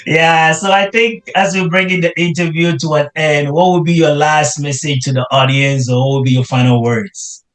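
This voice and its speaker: A man's voice: fairly high pitch, little pitch movement, normal speed, few pauses, fairly bright tone. A man hosting an interview, encouraging his guest with frequent affirmations. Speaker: interview host. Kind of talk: casual interview.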